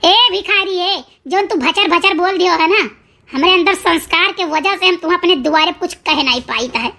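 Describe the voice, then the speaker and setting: Squeaky high cartoon village woman, awadhi accent, sharp tongue, super bright, repeats herself. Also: normal speed, exaggerated voice. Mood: contemptuous. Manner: scolding, emphatic, repeats phrases. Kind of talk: cartoon comedy dialogue in rural dialect.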